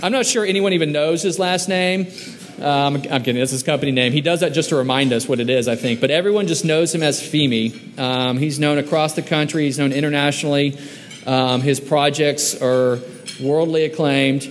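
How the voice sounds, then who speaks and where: Upbeat mayor in an echoey hall. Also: male voice, fairly high pitch, normal speed. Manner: friendly, upbeat, filler-heavy delivery. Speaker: mayor hosting a round table. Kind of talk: introducing a guest at a lunch event. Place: large hall at a lunch event. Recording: echoey hall with faint noise.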